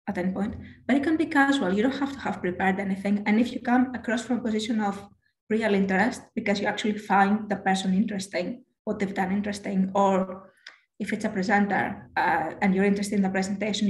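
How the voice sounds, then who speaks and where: A woman in her thirties from Greece with a Greek accent and a low voice, talking quickly with many restarts as a guest on a video call interview. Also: roomy sound. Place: small room at home. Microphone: headset on video call.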